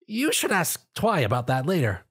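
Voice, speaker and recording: A man's voice: fairly high pitch, huge pitch swings, normal speed, fairly bright tone, breathy edge, voice pushed up, full character voice. A man voicing an eager, earnest young boy dragon in a storybook reading.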